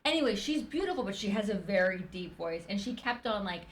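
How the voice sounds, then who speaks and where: A woman hosting a podcast, upbeat and animated, laughing often in casual conversation. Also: mid-range voice, brisk, a little hiss or hum. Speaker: podcast host, business owner.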